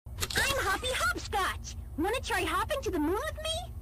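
A hyperactive, pushy cartoon bunny toy. The voice is very high and jumps in pitch, under heavy noise. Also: female voice, very animated, moderate pace. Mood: eager. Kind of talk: children's toy character voicelines.

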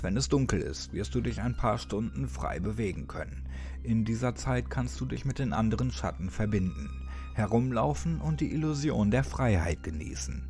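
A man narrating a horror story as a dramatic audiobook, addressing the listener ominously with pauses and occasional near-whispers. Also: medium pitch, darkish tone. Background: music.

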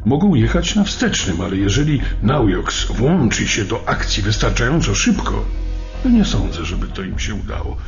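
An actor playing a cold, confident German intelligence officer in a radio docudrama, with a gravelly, measured, commanding delivery. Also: male voice, lowish voice, very animated. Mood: firm, calculating. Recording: dull radio recording, faint music.

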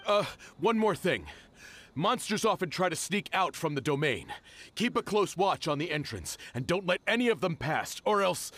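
A dutiful young soldier speaks anxiously and deferentially. His fairly high voice moves up and down a lot, in earnest video game dialogue. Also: big pitch swings, normal speed, fairly bright tone, exaggerated voice.

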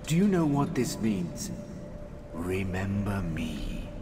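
Menacing sorcerer, echoing hugely. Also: male voice, deep voice, sing-song, slow pace, heavily acted. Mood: gloating. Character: menacing, possessive male sorcerer slave master. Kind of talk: video game villain dialogue. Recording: long reverb, music behind.

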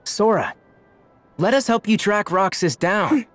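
A man playing a confident, brash but friendly teenage boy who leads his friends, in an animated video game. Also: sits high, unhurried, faint music behind.

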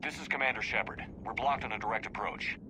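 An actor playing a decisive military commander in a video game, calm under fire and briskly commanding. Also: male voice, mid-range voice, noisy background, close to an everyday voice. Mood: resolute.

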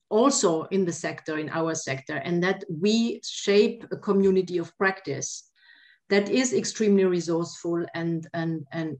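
A woman's voice: mid-range voice, a little mellow. A woman panelist speaking in an online discussion over a video call, hesitant with many fillers and restarts.

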